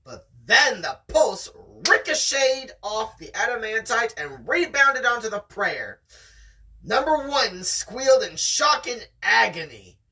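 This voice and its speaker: Amateur story reader with animated pitch and a forceful tone, casual chat between passages, sounds slightly off-mic. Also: slow pace, slightly rough, far from the mic, low-quality recording. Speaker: host reading a novel aloud.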